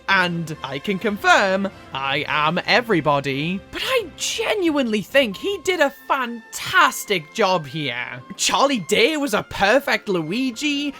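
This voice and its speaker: A woman hosting a scripted, opinionated film review, with expressive, emphatic delivery and sarcastic asides. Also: on the high side. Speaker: video essay host.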